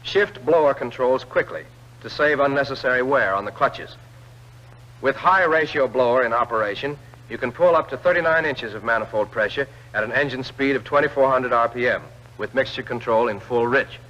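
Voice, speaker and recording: A man reads scripted instructional narration for a 1940s military training film, clearly addressing the trainee directly. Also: medium pitch, normal speed, bright, forceful tone. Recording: old film soundtrack, dull lo-fi band.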